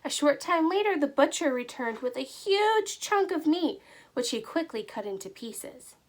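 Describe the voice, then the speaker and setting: A woman narrating a children's storybook aloud with expressive, deliberate storytelling. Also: high voice. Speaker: teacher reading aloud.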